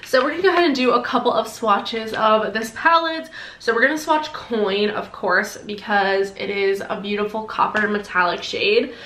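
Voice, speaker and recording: Bubbly, bright young woman with a nasal voice. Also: medium pitch, fairly even intonation, echoey room, slight background noise. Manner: bubbly, gushing, quick asides. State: sore throat, congested. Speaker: beauty vlogger. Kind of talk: casual product unboxing to camera. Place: decorated bedroom, indoors.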